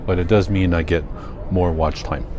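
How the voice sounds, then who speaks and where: An older man with a Canadian accent, chatting casually in a rambling vlog, thinking aloud in a halting, self-correcting way. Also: deep voice, steady tone, dull audio, noisy background. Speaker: vlogger.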